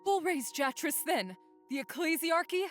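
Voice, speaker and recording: A woman's voice: very high voice, lively intonation, normal speed, soft background music. A woman playing an urgent, dutiful soldier in a sci-fi audio drama. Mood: pleading, resigned. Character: resolute female soldier, a sharpshooter, urgent and dutiful.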